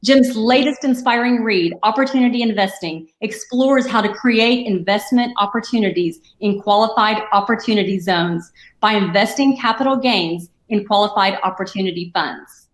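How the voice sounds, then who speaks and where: A woman announcer smoothly reading a scripted introduction of the speaker. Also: fairly high pitch. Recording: roomy echo.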